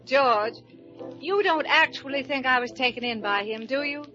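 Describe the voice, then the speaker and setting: Casting: bright, high teasing wife, old lo-fi radio sound. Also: female voice, moderate pace, soft background music. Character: witty, teasing young wife. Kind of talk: 1950s radio comedy acting. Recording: dull old radio recording.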